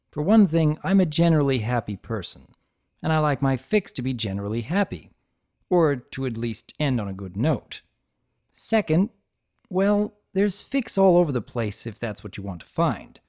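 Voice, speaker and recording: A man's voice: mid-range voice, warm tone. A man hosting a story reading, delivering scripted commentary in an earnest, emphatic manner. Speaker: fan fiction reader and channel host.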